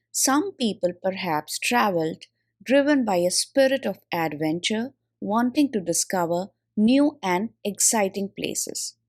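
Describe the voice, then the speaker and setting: A woman reading a school textbook aloud as scripted audiobook narration, in deliberate, measured phrases. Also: mid-range voice. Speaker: audiobook reader.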